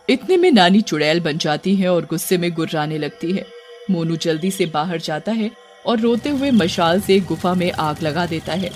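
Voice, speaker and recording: A man reads scripted story narration in a high-pitched voice. Faint music plays behind him as he tells the tale.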